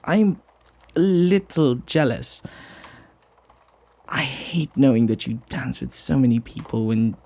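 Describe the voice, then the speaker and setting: A man playing a flirty, devoted butler in an intimate ASMR roleplay, with genteel, formal phrasing and a husky voice. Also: mid-range voice, lively intonation, takes it slowly, long pauses, warm tone, full character voice. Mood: flustered, jealous. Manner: formal, genteel phrasing with teasing familiarity and sighs. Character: flirty, gossipy, devoted butler, jealously protective of master.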